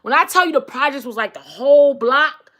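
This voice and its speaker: A woman's voice: high-pitched, a little fast, fairly bright tone. A woman with a New York accent hosting a live stream, talking bluntly and profanely as she reacts to viewer comments. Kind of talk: casual live-stream chat reading comments.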